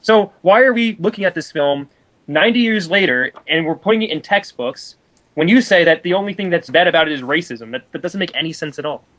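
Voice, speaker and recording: A man with a fairly high voice talks through films as a co-commentator. His delivery is casual and rambling, and he argues his points. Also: lively intonation, brisk, a bit bright, low-quality recording.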